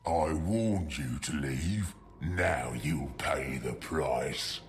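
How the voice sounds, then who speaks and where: An actor voicing a menacing male Grendel monster in a game, gravelly and growling. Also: very deep voice, very slow, faint music behind, heavily acted. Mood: threatening.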